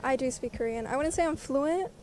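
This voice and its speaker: A young woman from South Africa, chatting fast in a casual outdoor interview and laughing often. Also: high voice, a little mellow, a little hiss or hum.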